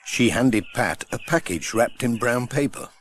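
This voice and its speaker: Male children's audiobook narrator, mid-range, lively intonation, faintly noisy older recording. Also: medium pitch, measured pace. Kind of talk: scripted children's storybook narration.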